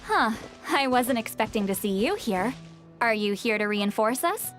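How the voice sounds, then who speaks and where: Woman acting a huffy, high-pitched bookish game heroine. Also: lively intonation, normal speed, close to an everyday voice. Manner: huffy, dramatic, opinionated. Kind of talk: video game character acting. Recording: background game music.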